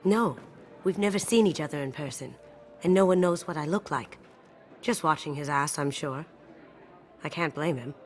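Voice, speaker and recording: A sly woman thief from a video game, voiced by an actress, speaking in a low, self-assured voice. She is breezy and quietly wry, with soundtrack music clearly behind her. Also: moderate pace, long pauses, lightly acted. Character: sly, confident master thief woman, playful and cool. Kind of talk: video game character acting.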